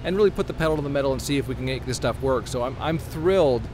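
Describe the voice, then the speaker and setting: A man giving an upbeat, salesy product pitch as a guest on a casual podcast at a trade show booth. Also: rapid-fire. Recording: trade show hall noise behind.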